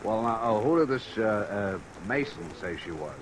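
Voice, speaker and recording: Fussy police lieutenant, noisy recording. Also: male voice, on the low side, very animated, moderate pace. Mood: fussy, questioning. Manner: hesitant, folksy, patronising. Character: plodding, fussy male police lieutenant, mildly aggrieved. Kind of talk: old-time radio detective drama acting. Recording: noisy vintage radio recording under rain sounds.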